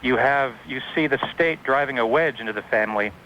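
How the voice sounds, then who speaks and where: Male American talk-radio caller, bookish, formal commentary, lo-fi sound with slight background noise. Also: medium pitch. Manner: bookish, formal wording in measured lists. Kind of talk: opinionated phone-in commentary. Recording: dull, noisy radio phone-in.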